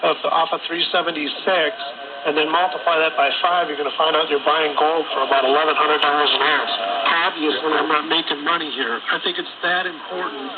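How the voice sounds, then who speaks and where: A man pitches to listeners over a narrow, tinny shortwave signal thick with static. His voice sits a little high. He talks informally and insistently, circling back over his points like a radio host making a sale. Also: steady tone, brisk. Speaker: radio show host selling gold and a newsletter subscription. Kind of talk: conversational radio sales pitch. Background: heavy radio static.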